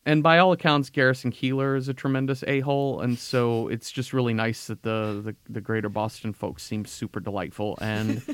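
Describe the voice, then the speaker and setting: A man's voice: medium pitch, steady tone, fairly quick, few pauses, clean tone, no room sound. A man talking as a podcast guest in casual chat, rambling with many restarts and frequent laughs.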